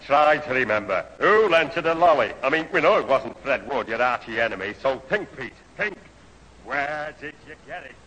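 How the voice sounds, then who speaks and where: A man playing brisk, questioning straight roles in a 1970s radio sitcom. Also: medium pitch, animated, sharp tone. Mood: insistent, probing. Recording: old hissy radio broadcast, roomy hall.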